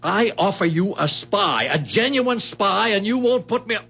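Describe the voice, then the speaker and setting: A dull old radio drama recording of a self-important, blustering businessman. His voice sits fairly high with a gravelly edge and swoops about as he makes his indignant demands. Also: expressive, moderate pace, bright, forceful tone, heavily acted. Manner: pompous, insistent. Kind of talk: 1970s radio drama acting. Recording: dull 1970s radio recording, faint music.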